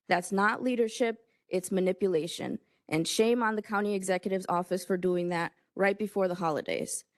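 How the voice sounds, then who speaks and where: A woman county board member giving a pointed, forceful prepared statement at a public meeting. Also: mid-range voice, no echo, clean studio sound.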